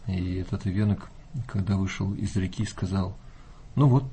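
A male Orthodox priest speaking in an unhurried, folksy way, with many fillers and hesitations. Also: low voice, fairly even intonation, mellow, a little hiss or hum. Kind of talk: calm talk show conversation.

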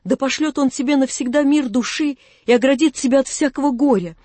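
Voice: sits high, a little fast, a little mellow, no echo, voice pushed up, close to an everyday voice. A narrator voicing a tender, anguished old father in an audiobook, imploring and fervent. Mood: solemn blessing.

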